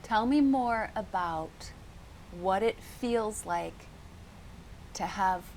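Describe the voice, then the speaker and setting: A woman with a medium-pitched voice hosts a coaching conversation. She guides the listener in an encouraging, deliberate way, over noticeable ambient noise. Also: a little mellow. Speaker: podcast host and coach. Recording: clear background noise, ambience.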